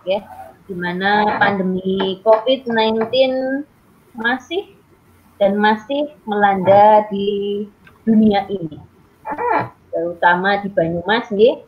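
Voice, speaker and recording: A woman teacher moderates an online class. She speaks very slowly and formally. The video-call audio is dull. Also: mid-range voice, a little hiss or hum. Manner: slow, formal and deliberate. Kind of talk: formal opening of an online lesson.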